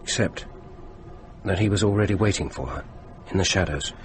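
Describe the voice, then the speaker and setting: A low-pitched British man played by an actor, stranded and morbidly curious, in a radio play. He needles his companion with a dry edge, over clearly noisy ambience. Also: moderate pace, close to an everyday voice. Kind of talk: radio drama acting.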